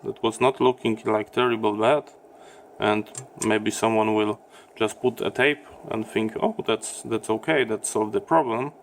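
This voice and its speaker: A man who speaks English as a second language, giving a halting, step-by-step explanation in a hands-on repair demonstration. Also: lowish voice, fairly even intonation, a little hiss or hum. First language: not English. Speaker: electronics repair presenter.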